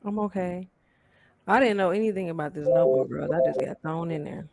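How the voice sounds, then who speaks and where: A woman's voice: fairly low pitch, brisk, creaky, darkish tone. A woman guest chatting casually, speaking in a candid, informal way. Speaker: show guest. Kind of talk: casual chat.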